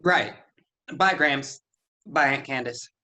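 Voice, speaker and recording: A man's voice: mid-range voice, slow, bright, forceful tone. A man playing an earnest, obedient farm boy, hesitant and eager, in a staged play reading.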